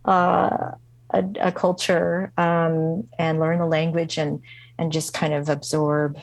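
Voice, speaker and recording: A woman guest recounts a personal story in a slow, reflective way, pausing often and restarting her sentences. Her voice sits a little low for a woman, and it is recorded dry, with no room sound. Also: dry recording. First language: not English. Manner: halting, many fillers and restarts. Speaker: guest musician.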